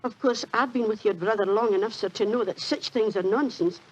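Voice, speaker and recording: An actress playing a humble, kindly old British landlady, husky, deferential and hesitant, in 1940s radio drama style. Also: medium pitch, brisk, lightly acted. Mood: anxious, earnest. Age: older. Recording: dull 1940s radio recording.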